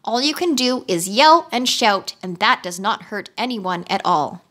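A woman reading a storybook aloud, voicing a calm, unemotional copper clockwork man who speaks in measured, commanding tones. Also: mid-range voice. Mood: steady.

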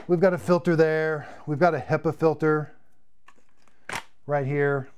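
A man's voice: fairly high pitch, fairly even intonation, unhurried, lo-fi sound, slight background noise. Chatty man reviewing vacuums, losing his voice. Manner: chatty, enthusiastic, repeats words while demonstrating. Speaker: product reviewer. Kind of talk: live-stream product demo. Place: home studio room with shelves of vacuums.

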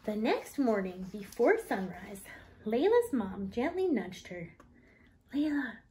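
A woman in her thirties reading a children's picture book aloud in an expressive, deliberate manner. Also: mid-range voice, a little hiss or hum.

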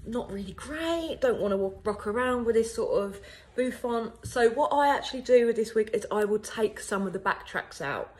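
A woman in her thirties, a wig salon owner, chatting casually and upbeat to a phone camera in her salon. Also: medium pitch. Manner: chatty and upbeat, frequent ums. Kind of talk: casual how-to video. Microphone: phone camera at arm's length.

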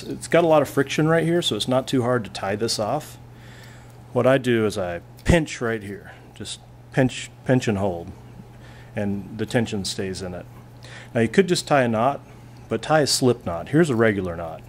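A man's voice: medium pitch, expressive, moderate pace, slight background noise. A male physics teacher at a classroom lab bench, plainly talking through a hands-on demonstration and thinking aloud as he goes.

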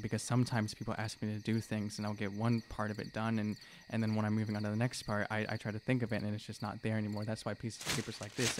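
A man playing an anxious, scatterbrained young demigod in a tabletop game, rambling and halting, with lots of filler words. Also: fairly low pitch, a little hiss or hum, close to an everyday voice. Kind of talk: improvised tabletop roleplay.

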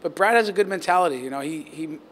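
A man's voice: fairly high pitch, expressive, slight background noise. Earnest man coach at press mics. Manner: measured, earnest, many restarts and fillers. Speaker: head coach. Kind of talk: post-game press interview. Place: press backdrop area, indoors. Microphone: handheld reporter mics held close.

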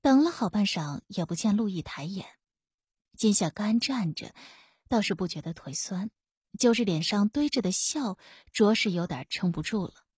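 Husky woman narrating, lively and close. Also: mid-range voice, moderate pace, no echo. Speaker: audiobook narrator. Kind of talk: expressive scripted narration of a historical novel.

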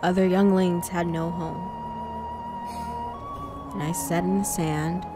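A woman's voice: lowish voice, fairly even intonation, takes it slowly, over loud music. An actress playing a guarded, wistful woman in a sci-fi audio drama.

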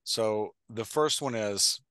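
A man in his thirties co-hosts from home on a headset mic, his voice low-pitched yet bright and forceful. He punches key points and then drops quiet. The sound is dry and close. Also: takes it slowly, clear, resonant tone, no room sound. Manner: emphatic, trails off quietly. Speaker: podcast co-host. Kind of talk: casual podcast chat over video call. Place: home room.

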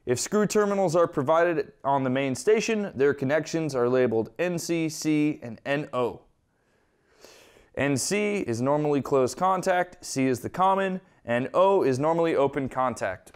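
A man with a fairly high, clear voice walks through a technical tutorial. He explains each step informally and conversationally, like a hands-on instructor. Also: expressive, moderate pace. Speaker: instructor presenting an equipment tutorial.

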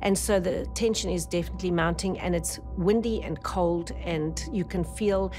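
A middle-aged woman, giving documentary testimony as a shipwreck survivor, retelling events vividly with halting restarts, in a dark studio on an off-camera mic. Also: lowish voice, fairly even intonation, faint music behind. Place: dark indoor interview studio.

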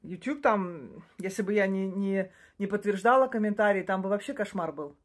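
A woman in her forties talks to her phone in a small room, chatty and rambling at an ordinary pitch. She often restarts her sentences and breaks off into short laughs. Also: moderate pace. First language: Russian. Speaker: social media creator. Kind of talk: casual talk to camera. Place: small room indoors by a curtain. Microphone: phone camera close up.

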